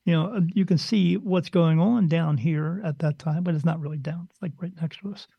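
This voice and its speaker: A man's voice: a little high, fairly quick, gravelly, husky, dry recording, highs cut off. A man who works as a spirit medium, talking casually on a podcast in a blunt, rambling way and slipping into other people's voices.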